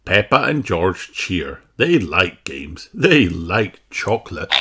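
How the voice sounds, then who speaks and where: A storyteller reading a children's picture book aloud in an expressive, animated way. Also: low-pitched, takes it slowly, husky. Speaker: audiobook reader.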